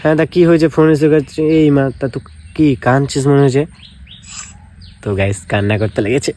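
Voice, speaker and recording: A man's voice: mid-range voice, fast, dark tone, a little hiss or hum. A young man in casual, provocative phone banter, needling and dismissive, with asides to viewers. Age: young adult. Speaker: prank video creator. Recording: music behind the voice. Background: music.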